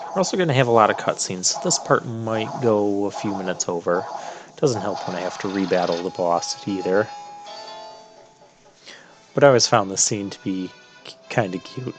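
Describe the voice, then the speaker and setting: A man giving casual, halting commentary as he plays through a video game. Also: lowish voice. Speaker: gamer narrating a playthrough. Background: video game music.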